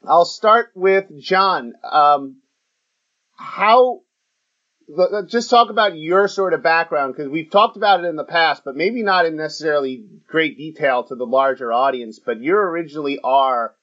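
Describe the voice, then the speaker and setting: A man hosting a casual sports podcast, talking in a halting way with many fillers and restarts. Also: a little high. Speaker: podcast host. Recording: muffled lo-fi audio.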